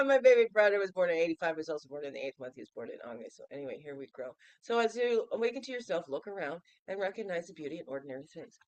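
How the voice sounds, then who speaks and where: A woman's voice: on the low side, dry recording, rough recording. A woman in her fifties, nasal and stuffy from a cold, hosting a daily reflection vlog in a playful, chatty way and asking the listener questions. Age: 50s. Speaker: host of a daily reflection show. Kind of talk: casual reflective vlog talk.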